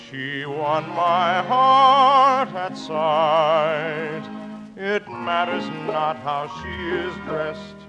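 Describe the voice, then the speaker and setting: An actor playing an earnest, smitten young society gentleman in a 1950s radio musical. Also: a little high, lo-fi sound. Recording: 1950 radio broadcast, orchestral music.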